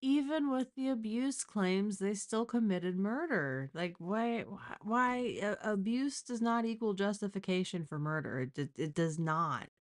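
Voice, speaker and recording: A woman's voice: mid-range voice, animated, a little slow, few pauses. A woman hosting a live stream, giving casual, blunt and mocking reaction commentary full of exclamations. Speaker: live stream host reacting to a crime case.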